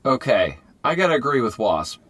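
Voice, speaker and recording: A man's voice: medium pitch, big pitch swings, normal speed, a bit bright, a little hiss or hum. An actor playing an irritable, suspicious male scientist hero who sounds exasperated.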